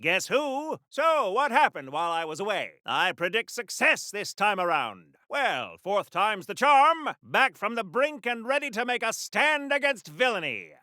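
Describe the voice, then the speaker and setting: Patriotic forties comic-book super soldier: high, swooping, theatrical radio-serial delivery for a video game. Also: male voice, very animated, a little slow, hardly any pauses, sharp tone, no echo, heavily acted. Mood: triumphant, cheerful. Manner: theatrical, hammy, old-time announcer delivery. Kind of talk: video game voice lines in golden age radio serial style.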